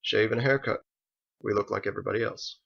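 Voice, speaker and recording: A man voicing a calm, resolute master in an audiobook story, speaking in a measured, firm, matter-of-fact way. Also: on the low side, vocal fry, husky, dull audio, full character voice. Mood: grave, resolute. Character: calm, resolute male master, patient and grave.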